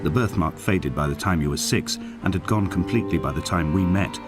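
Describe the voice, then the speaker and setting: An actor playing a solitary, melancholy middle-aged man, narrating in a measured, solemn, literary style for a game. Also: deep voice, natural voice. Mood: tender, reflective. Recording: music behind the narration. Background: clear background music.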